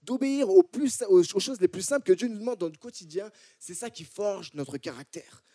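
A man's voice: high voice, expressive, moderate pace, mellow, dry recording, clean studio sound. A man from France with a French accent from France, preaching a sermon to a congregation and often repeating and restarting his phrases. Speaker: church preacher.

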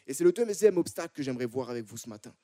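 Mellow Frenchman preaching a sermon. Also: mid-range voice, lively intonation, very fast, dry recording, studio quality. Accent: French from France. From France. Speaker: church preacher. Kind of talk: sermon to a congregation.

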